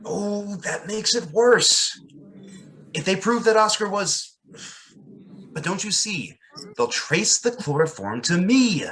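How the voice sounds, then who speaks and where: A man's voice: high-pitched, expressive, slow pace, long pauses, fairly bright tone, full character voice. A man playing a frightened, earnest young parson in a radio drama. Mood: anxious, defensive. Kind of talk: old-time radio drama acting.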